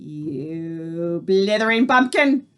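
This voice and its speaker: A woman reading aloud, voicing the tiny Grand High Witch, a furious and commanding female witch leader who shouts and speaks in odd broken grammar. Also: very animated, slow, exaggerated voice. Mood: enraged. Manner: shouting, shrieking, odd foreign-sounding grammar.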